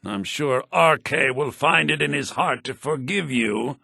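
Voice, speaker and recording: An actor playing a humble, solemn priest of the dead in a fantasy video game, speaking in an earnest, formal, measured way. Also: male voice, creaky, pushed and bright, no room sound, studio quality, full character voice. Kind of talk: video game character acting. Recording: lo-fi low-bitrate game audio.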